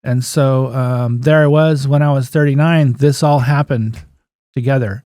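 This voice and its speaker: Male, 50s, interview guest. Mid-pitched, mellow delivery, anecdotal and plain-spoken, casual storyteller. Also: steady tone, measured pace, dry recording, studio quality. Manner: anecdotal, acts out remembered dialogue, folksy plain-spoken phrasing. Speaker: guest, physical therapist and clinic owner.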